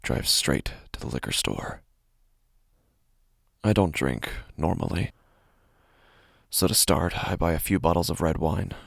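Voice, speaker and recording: A man acting an unraveling, despondent office worker in a first-person horror podcast monologue, halting and brooding with a gravelly voice. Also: sits low, fairly bright tone, no echo, studio quality, heavily acted. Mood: weary, subdued. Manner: halting, brooding, breathy near-whispers, repeats words. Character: despondent, unraveling male office worker getting drunk alone. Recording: music playing under the voice. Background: prominent music bed.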